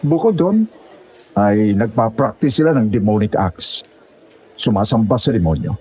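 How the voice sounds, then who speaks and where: A man's voice: fairly low pitch, sing-song, moderate pace, a little mellow, slightly rough. A man playing a courteous, official police officer in an audio horror drama. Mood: serious, grave. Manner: courteous, formal. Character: polite, official male police officer taking a report.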